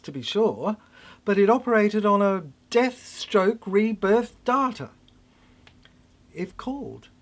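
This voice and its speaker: A narrator performing a theatrical spoken-word monologue in an emphatic way, with halting repeats. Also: medium pitch. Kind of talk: dramatic spoken-word narration of a cryptic science-fiction monologue.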